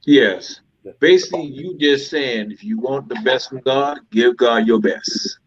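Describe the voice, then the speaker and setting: A man joining a group discussion as a panelist, speaking calmly and briefly in agreement. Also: medium pitch, measured pace, breathy edge, no echo. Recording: dull, dry, lo-fi sound.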